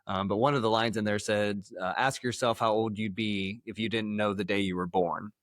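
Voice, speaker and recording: Low-voiced American man hosting a podcast. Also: fairly even intonation, normal speed, no room sound, studio quality. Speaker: podcast host, health coach. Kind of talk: conversational podcast interview.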